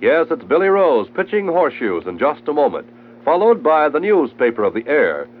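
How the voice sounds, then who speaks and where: A man's voice: on the high side, very animated, moderate pace, phone-quality sound. An American man working as a network announcer, reading in 1940s radio style. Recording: noisy band-limited old radio recording.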